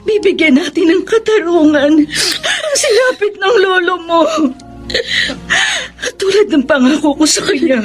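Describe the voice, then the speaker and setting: High-pitched sobbing mother. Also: very animated, measured pace, soft background music, full character voice. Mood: sobbing grief. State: crying. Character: grieving, devoted mother, vowing justice. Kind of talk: melodramatic radio drama acting.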